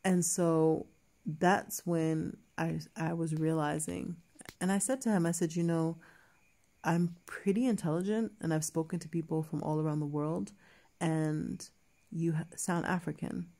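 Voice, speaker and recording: A woman with a low, gravelly voice recounts a personal story in a relaxed, chatty way. Her tone is dark and mellow, and she often restarts her sentences and stops to quote what was said. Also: measured pace. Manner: chatty, many fillers and restarts, quotes others in dialogue. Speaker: vlogger. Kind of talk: casual storytelling to camera.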